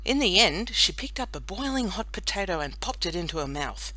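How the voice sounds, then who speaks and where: A woman narrating a children's audiobook in an expressive, deliberate storytelling manner. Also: fairly low pitch, poor audio. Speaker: audiobook reader.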